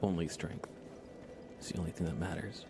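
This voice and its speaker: A man doing relaxed ASMR game commentary, casually rambling and thinking aloud as he plays. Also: low voice, moderate pace, noisy background. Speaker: livestreamer.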